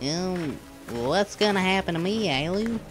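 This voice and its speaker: A woman voicing an anxious, simple young male rancher in an animated fantasy series, with folksy, pleading speech. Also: fairly low pitch, unhurried. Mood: nervous. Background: music.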